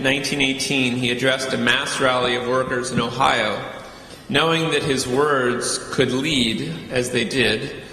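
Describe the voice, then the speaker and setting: A man narrates a historical introduction in a measured, formal style. His voice is bright and forceful, ringing through a reverberant hall with faint noise behind it. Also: medium pitch. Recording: echoey hall with some background noise.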